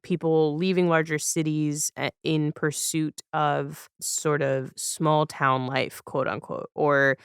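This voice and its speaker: A man's voice: a little high, little pitch movement, takes it slowly, creaky, few pauses, clear, resonant tone, no echo, clean studio sound. A man from Los Angeles hosting a casual podcast interview, thoughtful and circling around his phrasing. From Los Angeles, United States.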